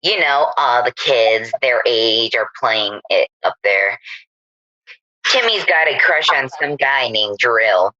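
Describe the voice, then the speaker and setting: A woman's voice: very deep voice, lively intonation, slow, pushed and bright, no room sound, muffled recording, full character voice. A woman playing a breezy, gossipy housewife chatting with a friend in a radio play. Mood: breezy, chatty.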